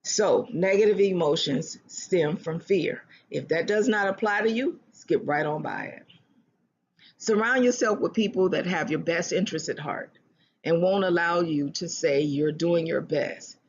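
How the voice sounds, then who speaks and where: Husky voice giving earnest relationship advice. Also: medium pitch, lo-fi sound. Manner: earnest, repeats and restarts phrases, slows for emphasis. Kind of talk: motivational self-help talk.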